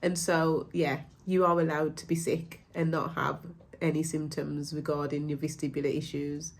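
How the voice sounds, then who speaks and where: A woman's voice: deep voice, flat delivery, moderate pace. A woman, stuffed up with a cold, talking casually and reassuringly as a mind-body coach, with many ums. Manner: gentle, reassuring, rambling with frequent ums. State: congested from a cold. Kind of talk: casual talk to camera.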